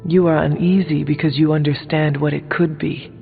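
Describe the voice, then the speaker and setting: An actor playing a solemn, blunt warrior hero in a video game, speaking formally and deliberately. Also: male voice, fairly high pitch, flat delivery, creaky. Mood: solemn, firm. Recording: muffled narrow-band sound with long reverb. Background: music.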